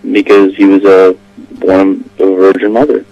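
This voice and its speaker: A man's voice: sits low, flat delivery, moderate pace, lo-fi sound. A man calling in to a debate, skeptical and pushing back with short questions. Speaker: caller. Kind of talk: phone-in debate.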